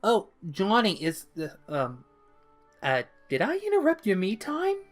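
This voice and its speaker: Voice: medium pitch, huge pitch swings, a little slow, soft background music, voice pushed up. A narrator voicing a bubbly, mischievous, childlike young villainess who exclaims, teases and pouts playfully in an audiobook-style story. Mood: amused. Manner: exclaiming, teasing, playfully pouty.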